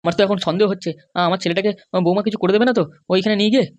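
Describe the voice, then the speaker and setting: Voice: medium pitch, fairly even intonation, very fast, darkish tone, natural voice. A performer voicing a compliant but grumbling, worried Bengali husband and father in a comedy cartoon, with a placating, hesitant manner. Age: middle-aged. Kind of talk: animated comedy cartoon dialogue.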